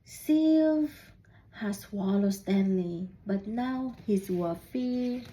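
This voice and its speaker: A woman reading a children's storybook aloud in deliberate, halting phrases, putting questions to young listeners. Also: mid-range voice, expressive, mellow, poor audio. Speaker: student reading a picture book aloud.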